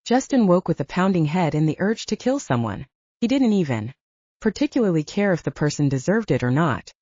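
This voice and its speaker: A woman's voice: lowish voice, very animated, fairly quick, dark tone. A woman reading scripted fiction as an audiobook narrator. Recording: roomy, dull lo-fi audio.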